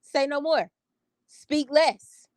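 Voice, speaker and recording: A woman's voice: sits high, extremely slow, no echo. A woman, telling a story to live viewers in a strong, confident voice, emphatic and sassy, repeating phrases for effect. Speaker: vlogger talking to live viewers. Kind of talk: casual storytelling chat.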